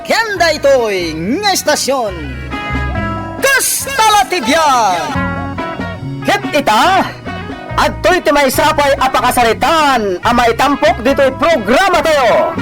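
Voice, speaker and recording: A woman announcing a radio drama in a chanting, drawn-out sing-song. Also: high voice, sing-song. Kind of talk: sing-song show opening. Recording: with theme music behind.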